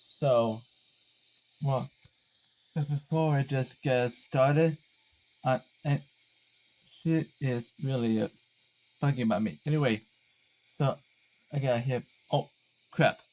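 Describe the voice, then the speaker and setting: A man hosting a casual hobby demonstration video, speaking in a halting, rambling, apologetic way. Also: medium pitch, a little mellow, poor audio.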